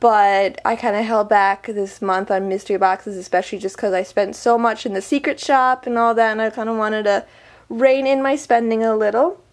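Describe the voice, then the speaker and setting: A woman's voice: fairly high pitch, fairly even intonation, normal speed. A woman vlogger chatting casually through an unboxing review, her voice a little weak from a summer cold, full of ums and likes. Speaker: beauty vlogger.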